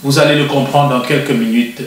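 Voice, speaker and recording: Give me a man hosting a TV interview, hesitant and measured, with a roomy echo. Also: mid-range voice, fairly even intonation. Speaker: TV show host. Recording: roomy echo, distant mic.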